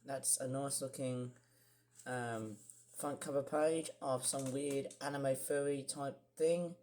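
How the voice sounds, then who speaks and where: Young man, croaky voice, rambling vlogger. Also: medium pitch, fairly even intonation, slow pace, darkish tone. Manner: rambling, many fillers and restarts. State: croaky, clearing throat. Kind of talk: casual screen-share vlog.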